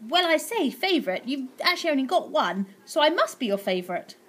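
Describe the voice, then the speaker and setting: A woman's voice: high-pitched, sing-song, fairly quick, a bit bright, voice pushed up. Woman doing a cheeky young boy. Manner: cheeky, politely teasing. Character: cheeky polite young boy, calm and sly.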